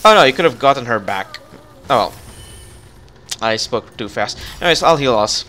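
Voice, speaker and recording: A man with a bright, forward voice leads a co-op game in casual chat. He is jokey and thinks aloud as he goes, over faint noise and game ambience. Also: mid-range voice, animated, normal speed. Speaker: gamer leading a co-op session.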